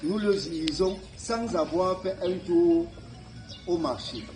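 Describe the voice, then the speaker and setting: A man's voice: sits high, slow, roomy sound, over music, rough recording. A man, a school official, gives emphatic thanks, repeating key words and reaching for a proverb. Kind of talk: formal thank-you speech.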